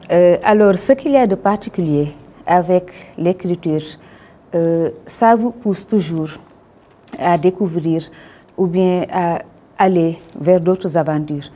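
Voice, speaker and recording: A Senegalese woman being interviewed as a guest, speaking French in a measured, formal way with frequent hesitations; her first language is Wolof. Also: on the low side, mellow. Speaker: guest, publisher and Wolof teacher. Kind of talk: interview answers.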